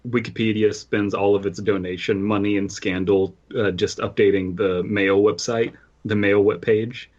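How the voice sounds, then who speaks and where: Low-voiced man with a husky edge. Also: level tone, normal speed, darkish tone, highs cut off. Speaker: podcast co-host. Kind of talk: casual comedic chat and reading aloud.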